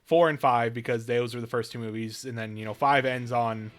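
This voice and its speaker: A man's voice: mid-range voice, fairly even intonation, brisk. A man giving casual live stream game commentary, rambling and often rephrasing mid-thought, with game music behind him.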